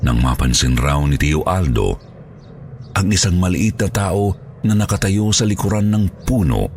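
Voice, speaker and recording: A male narrator reads a scripted horror tale. His voice is extremely deep and creaky, moving in animated swings over faint music. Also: normal speed, a little hiss or hum.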